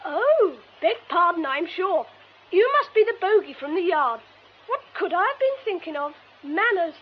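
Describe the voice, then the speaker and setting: A woman's voice: very high-pitched, big pitch swings, moderate pace. An actress playing a pert, insolent young boy actor in a radio drama. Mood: mock apologetic. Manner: pert, challenging, mock-polite. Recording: muffled, noisy radio drama recording.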